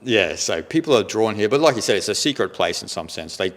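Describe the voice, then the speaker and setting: A man's voice: on the low side, expressive, fairly quick, a bit bright. A man hosting a documentary interview in a casual, chatty way, with plenty of fillers like "like" and "you know". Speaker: documentary host.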